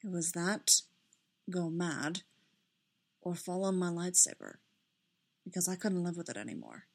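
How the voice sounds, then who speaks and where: Female reader as reserved male mentor figure in story narration, soft-spoken, dry, formal and understated. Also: lowish voice. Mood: quiet, resigned. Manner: quiet, measured, formal, understated. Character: restrained, dry, self-reproaching man, quiet loyal friend.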